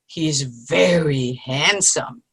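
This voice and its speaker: A woman's voice: sits low, drawn-out words, husky, heavily acted, poor audio. A woman playing a cheerful, teasing grandmother among old friends in an amateur comedy play reading. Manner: good-humored, teasing. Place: online video-call play reading.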